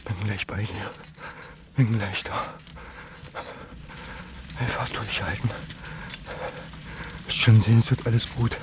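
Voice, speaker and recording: An actor playing a tender, anxious farm man who murmurs softly to his animal in an intimate German radio play. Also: lowish voice, fairly even intonation, takes it slowly, long pauses, noisy background. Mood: tender, reassuring.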